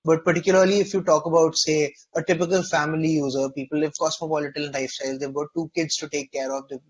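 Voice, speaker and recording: Articulate higher-pitched male interview coach. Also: level tone, quick pace, breathy edge, rough recording. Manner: articulate, explanatory, constructive. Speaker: interview coach giving feedback. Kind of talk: conversational mock interview feedback.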